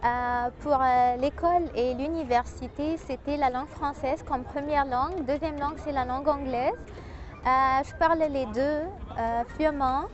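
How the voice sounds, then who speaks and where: A young Egyptian woman whose first language is Arabic speaks in a high voice, pausing often and filling gaps as she answers. The sound is dull and lo-fi, like an old TV broadcast, with faint music underneath. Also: steady tone. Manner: hesitant, many pauses and fillers. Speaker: guest, French teacher. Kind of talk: interview answers.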